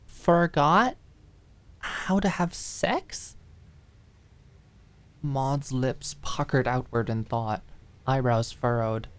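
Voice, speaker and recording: Casting: female audiobook narrator, low register, scripted dramatic storytelling, deliberate pacing with pauses. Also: warm tone.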